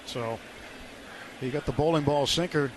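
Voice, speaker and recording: A man's voice: a little high, normal speed. A man giving conversational live color commentary on a baseball radio broadcast from a stadium booth. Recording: noisy outdoor stadium ambience.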